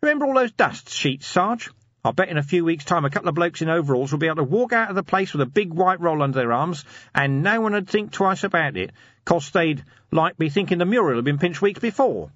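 A man performs a cheeky, scheming watchman from a fantasy storybook. He rambles eagerly in slangy speech, pitched up and lively, with a slightly rough edge. The sound is dry and a little dull. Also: brisk, no room sound. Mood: pleased. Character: Nobby, scheming cheeky low-ranking city watchman.

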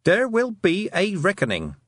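A man's voice: sits high, huge pitch swings, normal speed, a bit bright, slightly rough, no room sound, studio quality, voice pushed up, exaggerated voice. A man voicing a stern, stubborn young farm girl in a storybook, with emphatic, indignant delivery.

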